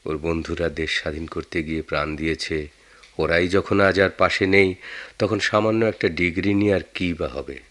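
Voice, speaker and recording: An actor with a Bangladeshi accent playing a concerned married man in a radio drama, earnest and deliberate. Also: sits low, fairly bright tone. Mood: appreciative, concerned. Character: concerned married man, sentimental, respectful of war veterans. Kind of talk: Bengali radio drama acting.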